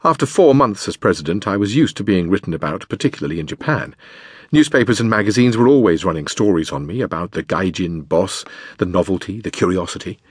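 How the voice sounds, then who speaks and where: Guy reading an audiobook, lowish slightly husky voice, measured pacing, really dry close sound. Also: expressive, no echo. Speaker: audiobook narrator. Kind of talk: scripted memoir narration.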